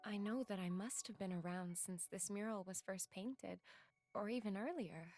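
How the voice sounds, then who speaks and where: A woman's voice: mid-range voice, moderate pace, darkish tone, lightly acted. An actress playing a polite, serene female guide in a video game, formal and composed. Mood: wistful. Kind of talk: video game cutscene voice acting.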